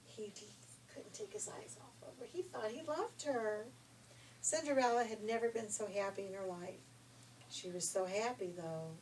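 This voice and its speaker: An older woman telling a fairy tale informally, in a folksy, expressive, chatty way. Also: medium pitch, moderate pace. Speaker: storyteller. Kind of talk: informal read-aloud fairy tale storytelling with asides. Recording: distant mic with faint background noise.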